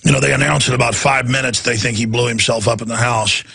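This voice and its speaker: A hoarse, raspy man hosting a radio talk show, straining his voice as he rants in indignant political commentary from a studio. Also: mid-range voice, fairly even intonation, fast, pushed and bright, a little hiss or hum. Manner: ranting, indignant.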